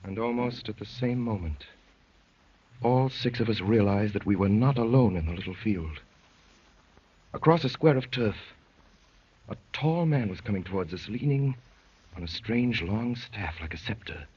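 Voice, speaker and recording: A man's voice: fairly low pitch, expressive, moderate pace, long pauses, a little mellow, husky. A man acting as an adventurer who narrates a wild chase in theatrical, declamatory 1930s radio drama style. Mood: hushed, wondering. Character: male adventurer recounting a wild chase, urgent, amazed. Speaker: narrator and lead actor. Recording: dull 1930s radio recording, music behind.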